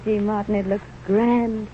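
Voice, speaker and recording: An actress playing a plucky, devoted young wife with frank, stubborn talk in a vintage radio drama. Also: female voice, medium pitch, fairly even intonation, slow, close to an everyday voice. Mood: tender, admiring. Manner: frank, firm, plain small-town talk. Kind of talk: 1930s radio drama acting. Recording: tinny, noisy old radio recording.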